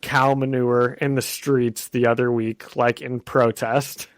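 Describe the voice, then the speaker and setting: A man's voice: medium pitch, flat delivery, unhurried, dry recording. A man co-hosting a podcast in casual chat, getting over a cold with a slight cough, chatty and laughing often. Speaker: podcast co-host.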